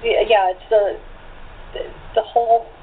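A woman's voice: fairly high pitch, steady tone, unhurried. A woman chatting casually in a group conversation, with a rambling way of talking, over thin call audio. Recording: noisy, phone-like remote call audio.

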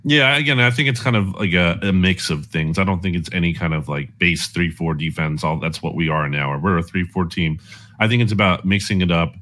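A man's voice: low voice, fairly quick, fairly bright tone. A man giving conversational sports analysis as a guest, explaining things in an informal way peppered with "like".

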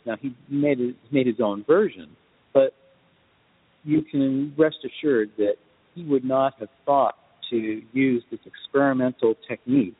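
A man explaining things emphatically in a podcast discussion, speaking as a guest composer with deliberate, lecturing phrasing. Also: mid-range voice, slightly rough. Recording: tinny phone-like line, long reverb.

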